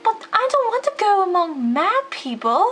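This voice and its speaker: A woman's voice: very high voice, big pitch swings, moderate pace, fairly bright tone, full character voice. A woman playing a polite, curious, flustered young cartoon girl who speaks hesitantly and earnestly. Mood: uneasy. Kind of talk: cartoon fandub acting. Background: music.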